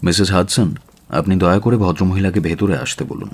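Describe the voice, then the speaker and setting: Deep-voiced, authoritative male detective. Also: slightly rough. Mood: formal, reserved. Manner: deliberate, emphatic, authoritative. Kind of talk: radio drama acting.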